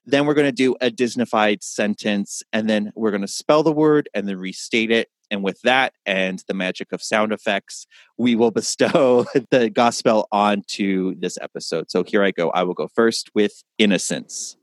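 A man's voice: lowish voice, moderate pace, dry recording. A man hosting a casual podcast, upbeat and chatty, explaining things as he goes. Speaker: podcast host.

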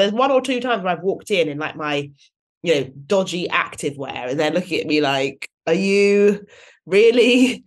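A woman hosting a casual conversation, telling stories in a lively way, laughing often and acting out what others said. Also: mid-range voice. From West London. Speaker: guest host.

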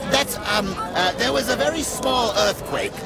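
An actor playing a polite, hesitant undead wanderer with a matter-of-fact manner in an audio drama. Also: male voice, high-pitched, unhurried, loud music behind the voice, over a noisy crowd. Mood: defensive. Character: mild, polite undead wanderer with rotted face, evasive.

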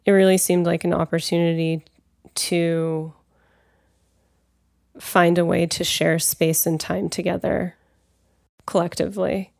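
A woman's voice: lowish voice, flat delivery, unhurried, gravelly, long pauses, darkish tone. A woman from Los Angeles, a musician, talking thoughtfully in an interview. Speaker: guest, musician. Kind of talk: interview talk.

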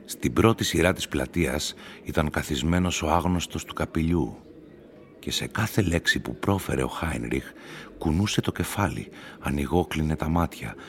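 A man reading a literary story as narrator, in a dramatic, scripted storytelling style. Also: extremely low pitch. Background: music.

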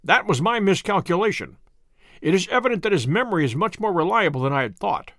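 A man reading the part of a calm, courteous gentleman detective in an audiobook story, measured and polite with dry understatement. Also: high voice, no room sound. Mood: wry, thoughtful.

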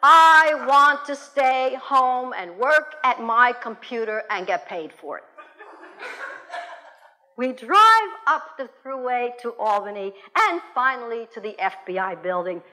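A woman whose first language is Russian tells a personal story to an audience in English, animated and blunt, swearing freely. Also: fairly high pitch, slow, low-quality recording.

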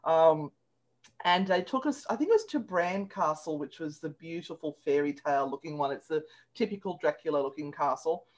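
A woman's voice: sits low, lively intonation, normal speed, darkish tone. A woman, a guest telling stories in a playful, chatty way and laughing often. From Australia. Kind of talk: casual chat.

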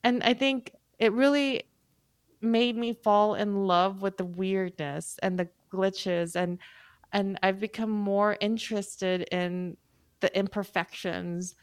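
A woman musician speaking as a guest in a casual panel talk, thoughtful and halting, with many fillers and restarts. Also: medium pitch, steady tone, smooth, no echo.

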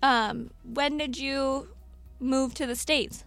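A woman's voice: sits high, steady tone, takes it slowly, gravelly, a bit bright, no echo, soft background music. A woman hosting a casual podcast interview, asking questions in a friendly, chatty way with many fillers. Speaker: podcast host.

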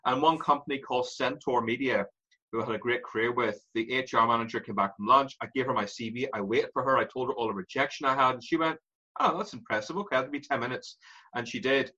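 A man in his forties with an Irish accent, a guest telling his story in an animated way and laughing through it. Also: medium pitch, quick pace, husky, highs cut off. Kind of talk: candid interview storytelling.

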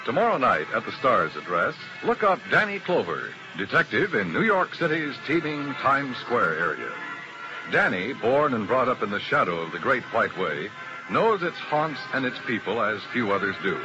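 Lively old-time radio announcer, man. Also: medium pitch, moderate pace, lo-fi sound, music in the background. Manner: formal, crisp announcer delivery.

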